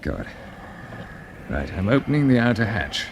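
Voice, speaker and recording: An actor with a British accent playing a calm, commanding space pilot in clipped 1950s radio drama style, giving steady instructions. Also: male voice, fairly low pitch, measured pace, vocal fry, noisy background, heavily acted. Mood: brisk, steady. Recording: vintage radio broadcast with music behind.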